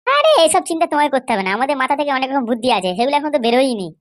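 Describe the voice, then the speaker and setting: A woman's voice: high-pitched, very animated, racing. A woman voicing a scheming, eager political henchwoman in a comedy cartoon, deferential yet assertive.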